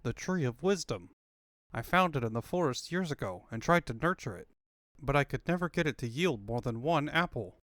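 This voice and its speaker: A man's voice: medium pitch, lively intonation, moderate pace, fairly bright tone, no echo, studio quality, lightly acted. A man voicing an earnest male inventor animal sidekick who explains things, in a comic fandub. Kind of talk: comic fandub character acting.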